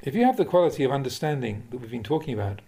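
A man, a Buddhist monk, reading spiritual teachings aloud in a measured, deliberate, instructive way, like an audiobook of a talk. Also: medium pitch, big pitch swings, gravelly, mellow. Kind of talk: audiobook-style reading of a dharma talk with questions and answers.

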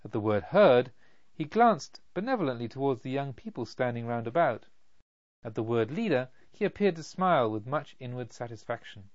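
A man's voice: medium pitch, very animated, moderate pace, no room sound. Male audiobook narrator, slightly dull sound. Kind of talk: scripted literary narration.

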